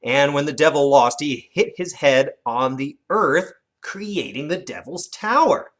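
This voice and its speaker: A teacher giving an instructional lesson for children, with animated, expressive storytelling emphasis. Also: mid-range voice, measured pace. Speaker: elementary teacher.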